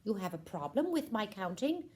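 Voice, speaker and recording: A woman's voice: mid-range voice, very animated, normal speed, darkish tone, natural voice. Woman putting on a bossy, fussy, swooping queen mother. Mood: pompous. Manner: regal fussing, emphatic, self-assured. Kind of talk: puppet show for children.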